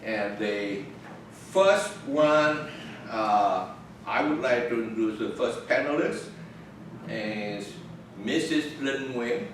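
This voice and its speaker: An older man with a Vietnamese accent moderating a conference panel, speaking in a halting way and often correcting himself. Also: medium pitch, lively intonation, background noise. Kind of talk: unscripted conference talk. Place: conference room. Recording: echoey room, voice from a distance.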